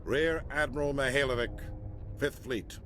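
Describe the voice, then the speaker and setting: An actor playing a gruff, disapproving middle-aged admiral in a video game, blunt and stern in the way he talks. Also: mid-range voice, measured pace, pushed and bright, slight background noise. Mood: stern, disdainful. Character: gruff, disapproving senior space navy admiral inspecting a warship.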